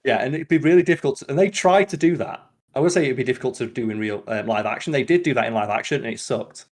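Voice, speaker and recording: A man hosting a podcast, chatting casually and retelling scenes in an animated, rambling way full of filler "like". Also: medium pitch, quick pace. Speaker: podcast host. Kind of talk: casual podcast chat about films.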